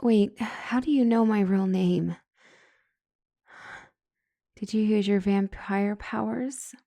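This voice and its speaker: A woman acts out a brilliant young female couples therapist in a comedic audio roleplay. Her voice is mellow and closely, dryly recorded. Her professional composure slips easily into flustered rambling. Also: mid-range voice, takes it slowly, gravelly, dry recording. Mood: surprised.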